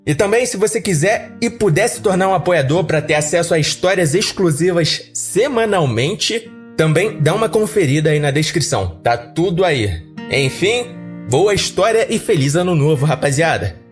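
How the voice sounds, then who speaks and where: A man's voice: on the high side, lively intonation, moderate pace, soft background music. A man with a Brazilian accent narrating an audio story in a colloquial, conversational storytelling way. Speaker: horror story podcast narrator. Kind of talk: audiodrama narration in first person.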